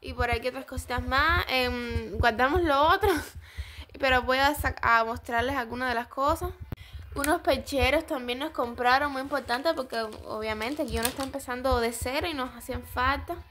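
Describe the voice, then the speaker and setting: A young woman in her twenties with a Cuban Spanish accent, chatting excitedly as she shows things to her vlog viewers on a phone camera at home. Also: high voice, a little hiss or hum. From Cuba. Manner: chatty and excitable, quick exclamations. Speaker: vlogger. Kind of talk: casual show-and-tell vlog. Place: small home dining room, indoors.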